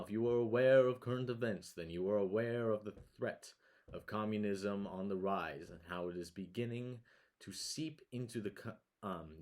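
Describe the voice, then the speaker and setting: Casting: man to play earnest 1950s TV or radio news anchor, lowish voice, grave, formal, slightly halting delivery. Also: close to an everyday voice. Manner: formal and solemn, halting over words. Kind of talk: mock 1950 newscast, scripted with stumbles.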